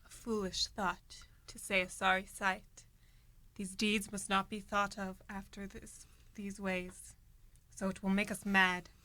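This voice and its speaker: A woman's voice: mid-range voice, flat delivery, measured pace, bright, forceful tone. A woman acting a scornful, scheming noblewoman in a Shakespearean radio play, speaking verse with commanding urgency. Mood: nervous, curt. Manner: commanding, dramatic, urgent Elizabethan verse delivery.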